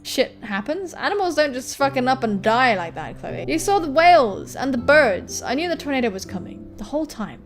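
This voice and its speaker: An anxious young woman full of self-blame, acted by a woman reading a visual novel, her voice fairly high over music. She frets and breaks off mid-sentence. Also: very animated, moderate pace, hardly any pauses. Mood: distraught. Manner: fretful, broken-off sentences.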